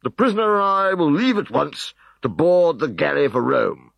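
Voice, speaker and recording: A man's voice: medium pitch, big pitch swings, moderate pace, vocal fry, no room sound, pitched above the performer's own voice. A man voicing a jovial, pompous Roman prefect in a storybook reading. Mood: flustered. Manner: blustering, flustered.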